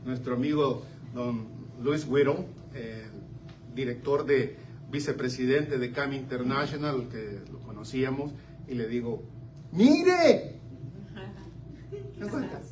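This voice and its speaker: An older man from Central America preaching a sermon, swinging between loud exclamations and quiet asides. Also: medium pitch, lively intonation, takes it slowly, long pauses. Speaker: pastor. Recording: slightly distant mic with faint room noise.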